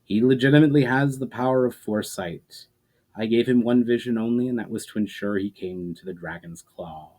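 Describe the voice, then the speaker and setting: A man voicing a powerful, coolly patient adversary with measured, condescending menace in a fantasy story reading. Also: lowish voice, warm tone, close to an everyday voice. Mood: cool, final. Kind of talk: dramatic fantasy audiobook reading.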